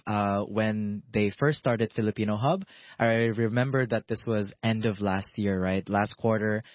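A man's voice: on the low side, no echo. A man hosting a radio talk show in a studio, chatting in a friendly, playful way with his guests. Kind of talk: casual radio chat. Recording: dull dry studio sound.

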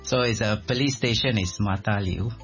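A man from Malaysia as a guest in a casual chat, relaxed and explaining with examples. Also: on the low side, moderate pace, muffled recording, faint music behind.

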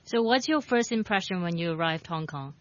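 A woman's voice: lowish voice, animated, brisk, no room sound. A woman from Malaysia, co-hosting a chat show and asking curious questions. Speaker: co-host. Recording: dull, dry audio.

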